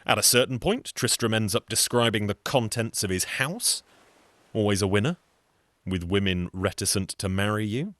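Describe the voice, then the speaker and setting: A man telling a folktale in a wry, conversational way with deadpan asides. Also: fairly low pitch, moderate pace, no echo. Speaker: storyteller and folk singer. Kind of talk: wry conversational storytelling with song.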